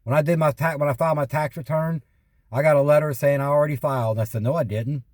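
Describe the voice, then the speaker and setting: Exasperated man with a higher-pitched voice. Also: fairly even intonation, quick pace, darkish tone, dry recording, studio quality. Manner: repeats himself, quotes past exchanges, exasperated complaining. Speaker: caller reporting identity theft and scam calls. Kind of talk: casual phone conversation, telling anecdotes.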